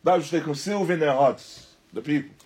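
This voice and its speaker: A man teaching in a religious lecture, deliberate and emphatic, repeating and rephrasing his phrases as he explains a text. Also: on the high side, a little mellow, slightly rough. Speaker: religious teacher giving a lesson. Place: Islamic centre, live stream.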